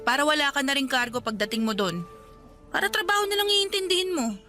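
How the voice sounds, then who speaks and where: A woman's voice: high-pitched, expressive, fast, faint music behind. An actress with a Filipino accent playing a guarded, anxious young woman in love in a Tagalog radio romance drama. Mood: guarded, wistful.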